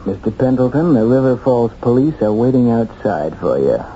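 An actor playing a steady, unassuming newspaperman in a 1970s radio drama, low-key and matter-of-fact. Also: normal speed, slight background noise, close to an everyday voice. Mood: businesslike. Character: unassuming, steady newspaperman backing his friend. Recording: dull old radio recording, crowd murmur.

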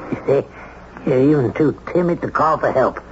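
An actor playing a brash, domineering old gentleman who needles and pries in a 1970s radio drama. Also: medium pitch, a little slow, close to an everyday voice. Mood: domineering, needling. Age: older. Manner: blunt, needling, insistent. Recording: muffled, noisy 1970s radio recording.

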